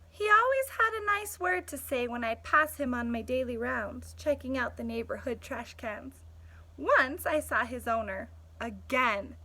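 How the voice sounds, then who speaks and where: Streetwise male stray dog played by a woman, storybook narrator, blunt and sardonic, bright, high pitch. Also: exaggerated voice. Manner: sardonic, punchy, conversational. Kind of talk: storybook first-person narration.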